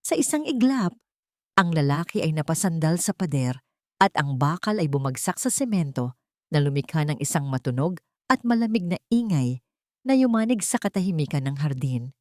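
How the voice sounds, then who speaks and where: A woman's voice: low voice, sing-song, moderate pace, mellow, dry recording, studio quality. A woman reading scripted, dramatic narration as a storyteller.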